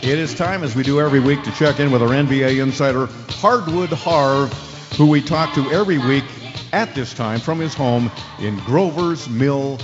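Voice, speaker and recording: A man's voice: medium pitch, moderate pace, lightly acted. A cocky American sports talk host in a radio drama, played by a man, smug and showy with a sarcastic edge. His voice comes through dull and reverberant, with some music around it. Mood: showy, upbeat.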